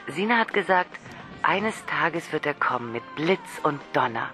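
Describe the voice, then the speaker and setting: A woman's voice: low-pitched, animated, unhurried, over music. An actress playing a lonely, shy woman who calls a man on the phone, tipsy, nervous and obsessive, often whispering. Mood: confiding. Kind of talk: radio drama acting.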